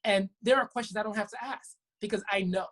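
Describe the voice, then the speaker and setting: Husky-voiced woman physician explaining earnestly. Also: mid-range voice, fairly even intonation, quick pace, fairly bright tone. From Brooklyn, New York. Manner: earnest, explanatory, with frequent restarts. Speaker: physician guest. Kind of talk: conversational interview answers. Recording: web talk show.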